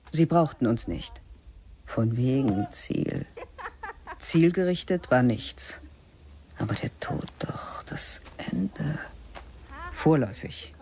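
A woman narrating a literary radio play in a slow, measured, spare voice that often sinks to a whisper. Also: extremely low pitch, a little mellow, a little hiss or hum.

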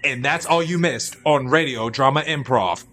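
A man's voice: medium pitch, moderate pace, pushed and bright, faint music behind. An American man announcing scenes theatrically as a performer in a live improv radio show.

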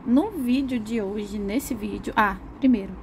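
Young woman, a bit hoarse, easygoing rambling craft host, mid-pitched, faint background hiss. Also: unhurried. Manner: chatty, rambling, with laughs and restarts. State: slightly hoarse from a cold. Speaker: art channel host. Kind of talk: casual chat demonstrating coloring.

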